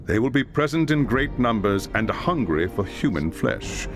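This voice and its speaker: A man's voice: mid-range voice, expressive, moderate pace, music in the background, lightly acted. An actor playing a stern male leader in a fantasy video game, briefing a warrior with solemn authority. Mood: urgent, resolute.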